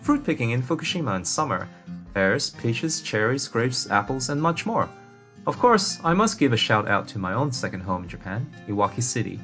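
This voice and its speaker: A male podcast host reading a scripted outro with enthusiastic, promotional warmth. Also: medium pitch, big pitch swings, normal speed, lo-fi sound, soft background music.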